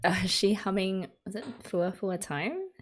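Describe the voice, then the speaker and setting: A woman's voice: mid-range voice, very animated, moderate pace. A young Australian woman hosting an anime reaction video, chatting casually and giggling often as she reacts. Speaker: anime reaction channel host.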